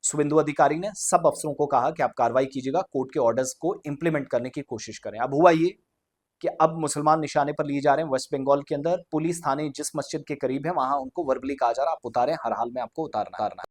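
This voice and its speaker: A man's voice: on the high side, fast. A man presents news commentary to viewers in an explanatory way, glossing formal terms in English.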